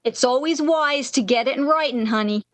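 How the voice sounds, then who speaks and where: A woman's voice: high-pitched, normal speed, no echo, natural voice. A woman playing a brassy, motherly and worldly-wise night switchboard operator in 1930s radio style, slangy and teasing with endearments. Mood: wry, fond.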